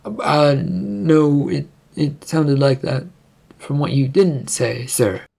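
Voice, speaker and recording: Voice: mid-range voice, slow, vocal fry, mellow, slightly rough, full character voice. A narrator voicing a polite, hesitant adventurer who speaks deferentially in a fantasy story.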